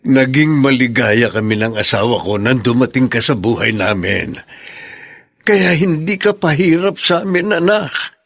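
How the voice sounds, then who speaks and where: A forgiving old filipino dad in a radio soap, raspy creaky voice, earnest and gentle. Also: male voice, mid-range voice, a little slow, no room sound. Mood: tender urging. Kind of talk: Filipino radio drama acting.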